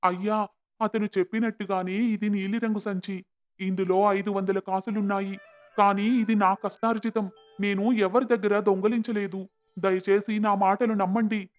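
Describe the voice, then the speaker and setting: Humble, devout poor villager played by a man, high-pitched, sincere, cartoon folk tale with soft music behind. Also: flat delivery, moderate pace, lightly acted. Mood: pleading. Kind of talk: cartoon moral-story acting. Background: background music.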